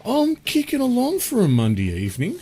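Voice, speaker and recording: A laid-back Australian man hosts a gaming podcast, his voice husky and still a touch croaky from a cold. He chats easily with his brother, and faint music sits in the background. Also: very high voice, wildly swooping pitch, brisk, mellow. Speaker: podcast host. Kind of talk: casual chat.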